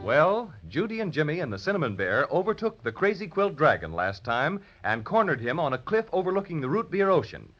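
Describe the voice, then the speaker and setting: A man narrating a children's serial in animated 1930s radio storytelling style. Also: a little high, brisk, hardly any pauses, soft background music. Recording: muffled 1930s radio recording.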